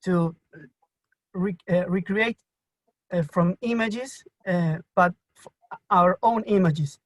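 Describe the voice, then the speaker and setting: A man from Argentina with an Argentine Spanish accent asks a question in a live online Q&A, speaking haltingly with many fillers and restarts. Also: high voice, dry recording. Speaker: audience member asking a question. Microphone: video call.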